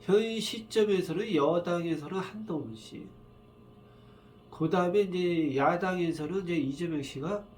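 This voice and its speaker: A man with a cold and a roughened voice, tired from just waking up, talking in a rambling, halting way with many fillers as he gives fortune readings in a casual solo video. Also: high-pitched, a little mellow, a little hiss or hum. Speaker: saju fortune reader.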